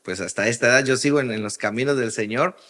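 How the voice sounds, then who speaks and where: A man from Guatemala, an interview guest telling his story in a halting way, often repeating the starts of phrases. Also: mid-range voice, sharp tone. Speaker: guest, music producer and worship singer. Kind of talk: casual interview, personal storytelling.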